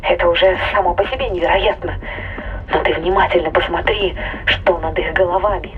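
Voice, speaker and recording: A woman's voice: fairly low pitch, brisk, narrow, tinny audio, faint music behind. A woman playing an earnest female scientist on a spaceship in a sci-fi audio drama, explaining things over a radio link. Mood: concerned, explanatory. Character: Marie, serious female scientist aboard a spaceship.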